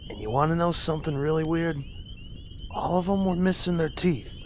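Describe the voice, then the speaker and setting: A man playing a conspiratorial logger in an audio horror drama, talking in a low, confiding way. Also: fairly even intonation, moderate pace, a little hiss or hum, close to an everyday voice. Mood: grinning, intrigued. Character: male logger, conspiratorial crew mate sharing grim rumours.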